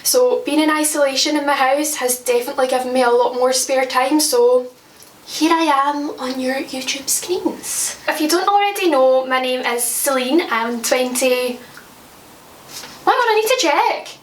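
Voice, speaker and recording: A woman's voice: high voice, normal speed, echoey room, distant mic, slight background noise. A Scottish woman in her twenties chatting casually to camera as a makeup vlogger at home, joking about her own slips. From Scotland. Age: 20s. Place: at home, indoors.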